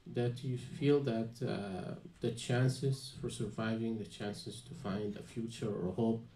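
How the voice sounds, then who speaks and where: A man's voice: mid-range voice, steady tone, takes it slowly, mellow. Young Palestinian man, hesitant, echoey room. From Gaza, Palestine. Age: young adult. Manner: hesitant, many fillers and restarts. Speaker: panel guest, student. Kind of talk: personal account at a panel. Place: small dim venue with live audience.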